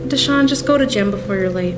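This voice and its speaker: A woman's voice: mid-range voice, quick pace, over loud music, lightly acted. A woman acting a firm, exasperated but caring schoolteacher in a radio play.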